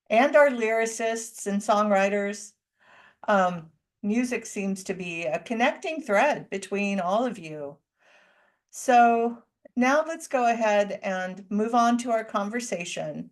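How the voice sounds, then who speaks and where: A woman moderating a student panel over a video call, speaking calmly and slowly with frequent ums. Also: medium pitch.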